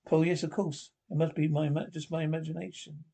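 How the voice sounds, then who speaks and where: A man reading the part of a troubled, horrified young experiment subject in a radio horror script, with a halting delivery. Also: a little high, level tone, creaky, warm tone, slightly rough. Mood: troubled, uneasy.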